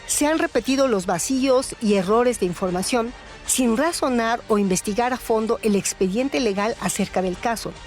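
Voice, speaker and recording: A woman's voice: a little high, normal speed. A woman reading scripted narration as the host of a radio show. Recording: loud music bed behind voice. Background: music.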